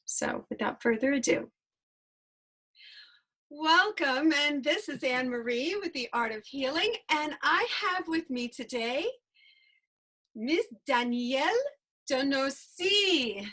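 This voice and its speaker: A woman hosts an interview show in a fairly high voice. She speaks very slowly and deliberately. She is warm and enthusiastic and exclaims often. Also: animated. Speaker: host.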